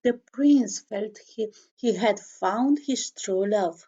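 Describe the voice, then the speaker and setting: A woman's voice: mid-range voice, slow, warm tone, husky, dull audio. A woman reading a children's storybook aloud, expressively, chatting to young listeners with questions and asides.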